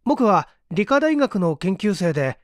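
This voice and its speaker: A man's voice: high-pitched, sing-song, measured pace, no room sound, clean studio sound, pitched above the performer's own voice. A man voicing an earnest, polite young university student in an audiobook, speaking formally.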